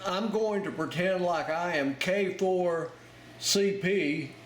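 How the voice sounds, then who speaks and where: A man's voice: sits high, slow pace. A man giving an informal hands-on tutorial as an instructor, explaining each step plainly as he goes. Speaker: amateur radio Morse code instructor. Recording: roomy, very noisy, with clicking and beeps.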